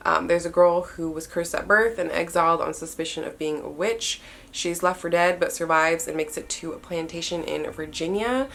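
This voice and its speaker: This is a young woman with a slightly low, gravelly voice and a stuffy nose. She chats in a relaxed, casual way, often unsure of names and details, like a book vlogger showing her shelves. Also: slight background noise. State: stuffy-nosed. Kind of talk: casual bookshelf tour talk.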